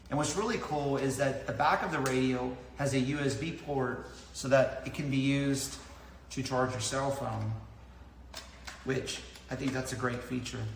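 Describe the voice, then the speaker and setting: A man in his thirties explaining a product methodically to camera, as a reviewer does. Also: medium pitch. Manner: methodical, explaining points in order. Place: large indoor lounge with tiled floor. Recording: echoey hard-walled room, clear background noise.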